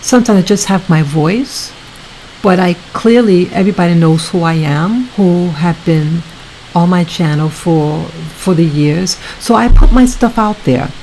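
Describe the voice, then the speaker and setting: A woman hosting her own video channel, talking casually to her viewers in a soft, soothing voice, halting often and repeating herself. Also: fairly low pitch, a little hiss or hum, rough recording. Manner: slow, repetitive, halting with many restarts. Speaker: YouTube channel host.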